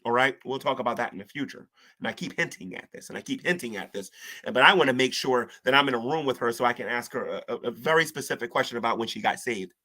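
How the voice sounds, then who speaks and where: A man's voice: medium pitch, animated, fast talker, sharp tone. A man giving casual, rambling commentary to camera, slangy and preachy, often talking about faith and spiritual warfare. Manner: rambling, colloquial with "ain't", many restarts; preachy, talks of gospel and spiritual war. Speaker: online commentator. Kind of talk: casual self-reflective commentary.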